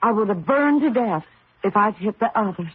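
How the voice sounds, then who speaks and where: An actress playing an affectionate, shaken woman race driver in a radio mystery drama, earnest and halting. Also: mid-range voice, expressive, breathy edge, dry recording. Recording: noisy narrow-band old radio recording.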